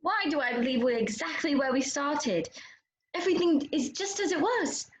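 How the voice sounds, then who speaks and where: A woman's voice: high-pitched, animated, moderate pace, reverberant. A woman playing an earnest, polite, puzzled young girl in a children's readers' theater performance. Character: lost, polite but questioning young girl.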